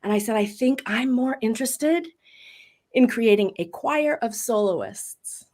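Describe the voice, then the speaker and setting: A midlife woman with a big voice, telling her story as a voice coach in a casual interview, lively and laughing often. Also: on the high side, measured pace. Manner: expressive storyteller with bursts of laughter. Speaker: voice coach and guest.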